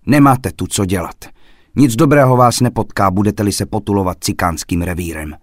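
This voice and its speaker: A man voicing a fierce old female fortune-teller who gives slow, insistent, ominous warnings. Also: lowish voice, no echo, clean studio sound, close to an everyday voice. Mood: menacing. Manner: menacing, insistent warnings, drawn-out slow phrases.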